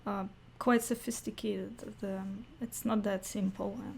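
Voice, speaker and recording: A woman's voice: medium pitch, steady tone, unhurried, gravelly, breathy edge. A woman interviewing someone in casual conversation, attentive and reacting with short acknowledgements. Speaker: interviewer, host.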